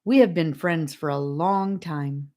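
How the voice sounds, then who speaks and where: A woman voicing a serious, wise male guardian who speaks calmly. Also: low-pitched, measured pace. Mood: earnest. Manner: calm and serious.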